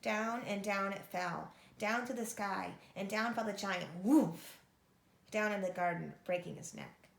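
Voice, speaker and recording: Creaky-voiced woman reading a storybook. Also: medium pitch, a little slow. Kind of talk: expressive storybook narration. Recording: echoey room.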